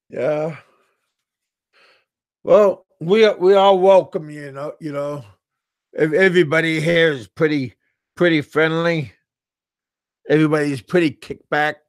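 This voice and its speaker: A man from Alaska hosts a relaxed livestream chat and talks slowly. He stumbles and repeats himself as he answers viewers, in a slightly raised voice. The sound is dry and close. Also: a little high, no echo. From Alaska, USA. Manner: halting, repeats words and restarts often. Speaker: livestream host. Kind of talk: casual live-chat talk reading viewer comments.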